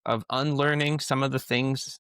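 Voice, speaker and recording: A man talks earnestly as a podcast guest, pausing and restarting as he gathers his thoughts. His voice has a gravelly edge and sits at an ordinary pitch, recorded dry and close. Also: fairly even intonation, a bit bright, no room sound. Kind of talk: casual conversation.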